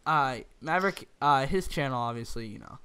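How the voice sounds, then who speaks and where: A young man with a croaky voice, co-hosting a gaming video and rambling in casual chat with lots of "like" fillers. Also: mid-range voice, expressive, a little fast, background noise. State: croaky, throat-clearing. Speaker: gaming channel co-host. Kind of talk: casual chat over gameplay.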